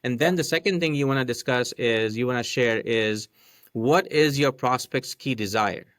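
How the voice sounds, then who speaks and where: A man's voice: medium pitch, moderate pace, a bit bright, dry recording, studio quality. A man whose first language is not English, a podcast guest explaining a marketing method step by step and often checking in with "right?". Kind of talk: casual explanatory chat.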